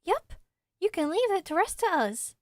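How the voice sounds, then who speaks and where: A woman acts out a small floating companion in a video game. The voice is high and childlike, with an excitable, bossy temper that tips easily into exasperation. The sound is dry and close. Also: normal speed, no room sound. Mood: confident. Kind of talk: amateur video game voice acting.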